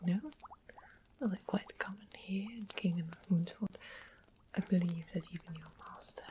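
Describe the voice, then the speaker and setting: A woman voicing a sweet, mischievous witch in an ASMR fantasy roleplay, in a breathy whisper with an unhurried, polite, coaxing manner. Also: fairly low pitch, steady tone, a little mellow, a little hiss or hum, natural voice. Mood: softly informative. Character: sweet-smiled, mischievous witch of the west, softly sly.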